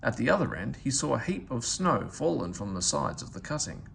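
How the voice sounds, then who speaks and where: A man narrating a children's storybook aloud in an expressive, scripted storytelling style. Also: mid-range voice, fairly quick, vocal fry, slight background noise. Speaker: storybook reader.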